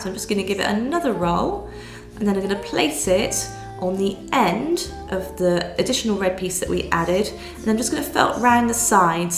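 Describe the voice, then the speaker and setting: Croaky, nasal woman teaching felting. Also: mid-range voice. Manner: patient step-by-step explaining with fillers. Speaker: needle felting instructor. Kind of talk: craft tutorial voice-over. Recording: roomy sound with soft music behind.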